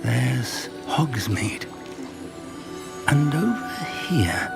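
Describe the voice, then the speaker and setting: A man's voice: mid-range voice, sing-song, extremely slow, gravelly, full character voice. An earnest older wizard professor in a video game. His mid-range voice rises and falls expressively, courteous and protective, with music underneath. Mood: wistful, awed. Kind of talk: scripted video game voice acting.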